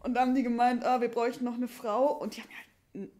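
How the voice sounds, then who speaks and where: A woman playing a casual female lumberjack in an improvised audio play, talking in halting, colloquial fragments. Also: on the high side, flat delivery, a little mellow, lightly acted. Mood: hesitant, casual. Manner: halting colloquial chat, trailing off. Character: female lumberjack, casual, hesitant about a job offer. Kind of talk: improvised audio drama dialogue. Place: small dark curtained stage. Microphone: stand microphone.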